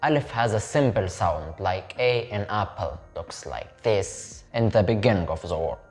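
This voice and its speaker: Deep slow-spoken male Arabic teacher. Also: lively intonation, faint music behind. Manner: repeats words slowly for the viewer to copy. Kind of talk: slow step-by-step language lesson.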